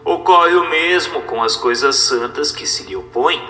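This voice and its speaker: A man with a Brazilian accent reads a religious text aloud as a narrator, in a formal, measured, didactic manner over music. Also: a little high, pushed and bright, husky. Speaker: narrator reading a book aloud. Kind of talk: scripted audiobook-style narration of a religious text.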